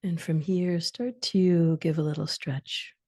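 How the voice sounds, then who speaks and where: Slow, low-pitched woman guiding sleep meditation. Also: steady tone, darkish tone, dry recording, studio quality. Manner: soothing, instructional, short phrases with long pauses. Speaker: yoga and meditation guide.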